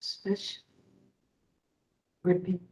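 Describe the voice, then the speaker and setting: A woman instructor leading a guided meditation in a soothing way, with long pauses between short phrases. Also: a little mellow. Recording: roomy echo, distant from the microphone.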